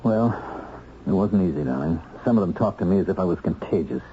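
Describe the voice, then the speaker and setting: A low-voiced man, an earnest ex-convict husband in a radio play, measured and wry with small hesitations. The old recording is dull and a little noisy. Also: animated. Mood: earnest, intent. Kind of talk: radio drama acting.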